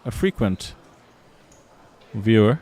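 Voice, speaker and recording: A man with a fairly low voice calls a poker match from a commentary booth. He breaks down each hand in an analytical way, with a dry sense of humor. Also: big pitch swings, slow pace, mellow, husky.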